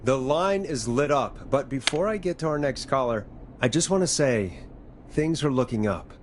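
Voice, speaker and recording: A man playing an earnest, caring late-night radio host in a measured, dramatic style. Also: medium pitch, animated, background noise, natural voice. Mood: announcing on air. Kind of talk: dramatic game voice acting.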